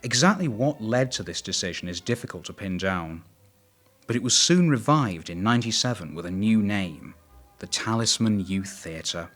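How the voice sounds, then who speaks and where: A man's voice: lowish voice, lively intonation, normal speed, fairly bright tone, soft background music. A man narrating a documentary from a script.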